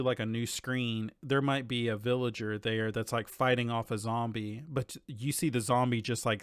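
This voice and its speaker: A man co-hosting a casual gaming podcast, talking in a halting, laid-back and sometimes sweary way. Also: medium pitch, no echo, studio quality. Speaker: podcast co-host.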